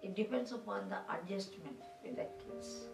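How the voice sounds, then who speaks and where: Halting older grandmother, echoey room. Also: medium pitch, gravelly, over music. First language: Telugu. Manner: slow, halting, searching for words. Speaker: grandmother, interviewee. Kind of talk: interview. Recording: echoey room, distant mic.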